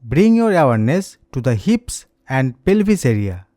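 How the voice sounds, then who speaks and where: A man leading a slow guided meditation as an instructor, speaking in a gentle, soothing, scripted manner. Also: mid-range voice, sing-song, dry recording, clean studio sound.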